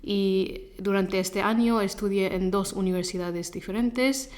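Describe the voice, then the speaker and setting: Woman from England speaking haltingly. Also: mid-range voice, steady tone, a little mellow. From Leicester, England. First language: Gujarati. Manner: halting, self-correcting second-language speech. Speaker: interview guest, Spanish teacher. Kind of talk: conversational interview answers.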